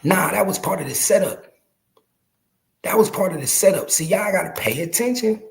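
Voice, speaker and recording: High-pitched, creaky man in his forties. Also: expressive, normal speed. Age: early 40s. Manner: emphatic, repeating phrases for effect; slangy, profane. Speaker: podcast host. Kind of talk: casual street commentary on rap news, addressing viewers.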